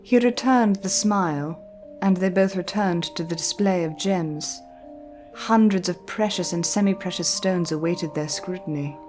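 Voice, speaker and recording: A woman with a slightly low voice narrates a dramatic tale. Her phrasing is deliberate and measured, like a scripted audio story. Soft music runs behind her.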